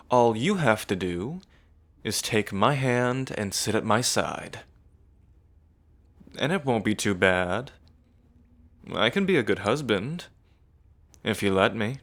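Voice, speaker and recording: A man's voice: fairly low pitch, expressive, slow pace, pauses often, a bit bright, exaggerated voice. A man playing a smug, menacing evil prince in an ASMR roleplay, mocking and condescending, drawling like a theatrical villain. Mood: coaxing threat.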